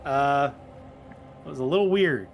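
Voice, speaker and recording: A man's voice: mid-range voice, measured pace, gravelly, slight background noise. A man playing a tabletop roleplaying game, talking casually and half in character in an amused, hesitant way. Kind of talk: casual improvised in-character chat.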